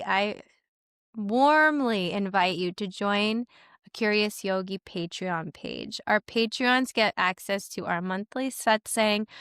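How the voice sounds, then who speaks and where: A woman from Canada hosting a podcast, enthusiastic and gushing, her voice a bit raspy from a cold. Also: medium pitch, fairly bright tone, no room sound, clean studio sound. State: getting over a cold, raspy. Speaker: podcast host. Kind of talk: podcast intro and interview. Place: home in Sweden.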